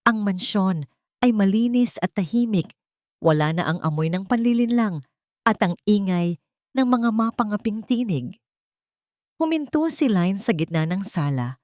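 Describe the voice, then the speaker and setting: A woman's voice: lowish voice, a little mellow, no echo, clean studio sound. A woman reading a scripted dramatic story in an earnest, sentimental way. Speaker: audio drama narrator.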